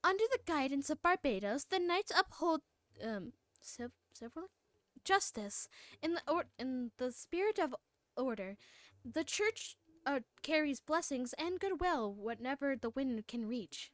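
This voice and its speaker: A woman voicing a formal, earnest female knight commander from a fantasy game, reading the lines aloud a little haltingly. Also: sits high, lively intonation, fairly bright tone, dry recording. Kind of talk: live read-along of game dialogue.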